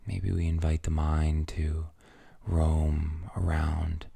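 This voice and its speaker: A man leading a guided meditation in a soft, soothing, breathy near-whisper, gently and with long pauses between phrases. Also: a bass voice, flat delivery, dry recording.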